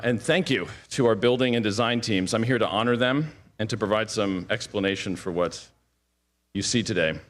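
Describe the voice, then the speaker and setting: A man giving an earnest, heartfelt speech at a ceremony. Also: mid-range voice.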